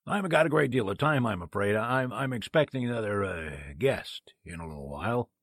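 An actor playing a sleazy, fussily polite gossip columnist who talks haltingly, in old-time radio drama style. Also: male voice, lowish voice, lively intonation, no room sound, studio quality. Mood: oily, courteous. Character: sleazy gossip columnist, oily man, nervously pleading.

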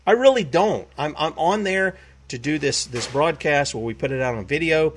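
A man's voice: a little high, lively intonation, moderate pace, a little hiss or hum. A man hosting a web broadcast, preaching emphatically, repeating himself and quoting scripture. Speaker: talk show host.

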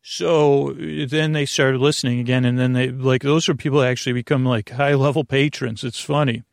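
A man hosting a bedtime podcast in a creaky voice, meandering hesitantly and often repeating and correcting himself. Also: mid-range voice, fairly even intonation, brisk, dry recording, clean studio sound. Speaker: podcast host. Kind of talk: rambling bedtime sleep podcast monologue.

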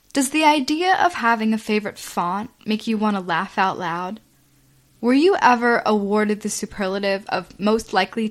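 A woman reading a mock infomercial in a tongue-in-cheek, salesy way. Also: mid-range voice.

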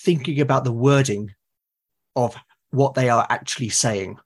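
A man's voice: medium pitch, moderate pace. A man in a conversational interview as a podcast guest, explaining his creative process and often repeating words as he thinks aloud. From Britain.

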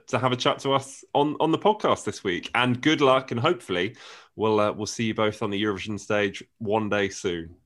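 A man's voice: lowish voice, a bit bright, no room sound, clean studio sound. A man co-hosting a podcast, chatting in a self-mocking way with many restarts. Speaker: podcast co-host. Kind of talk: casual podcast chat.

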